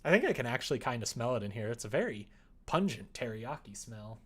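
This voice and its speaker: A man with an average-pitched voice talks casually on a livestream as he works. He thinks out loud, rambling and often hesitating as he finds his way through a thought. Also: very animated, brisk, slightly rough. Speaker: livestreamer making a visual novel. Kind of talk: casual livestream chat while working. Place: indoors at home.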